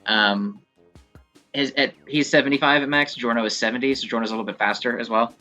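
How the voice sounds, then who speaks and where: A man giving casual gameplay commentary, thinking aloud in a halting, self-correcting way as he explains things. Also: mid-range voice, fairly even intonation, sharp tone, highs cut off, soft background music. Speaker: gaming video host. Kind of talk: casual rambling game commentary.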